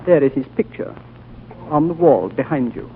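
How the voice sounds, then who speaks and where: An actor playing an ancient, calm and wise male High Lama in a 1930s radio drama, speaking slowly and gently. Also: a little hiss or hum, close to an everyday voice. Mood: solemn. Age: older. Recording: tinny old radio broadcast.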